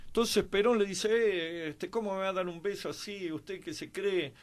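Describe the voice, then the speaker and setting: A man with an Argentine accent telling anecdotes conversationally as a guest commentator, halting often with pauses and filler words. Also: high-pitched. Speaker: guest commentator on a history and politics programme.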